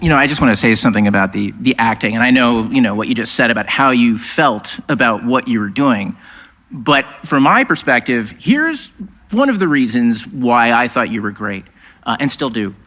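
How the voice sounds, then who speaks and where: Bright, animated male co-host. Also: medium pitch, normal speed, rough recording. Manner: enthusiastic. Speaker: co-host, screenwriter. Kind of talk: casual onstage anecdote. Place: on stage.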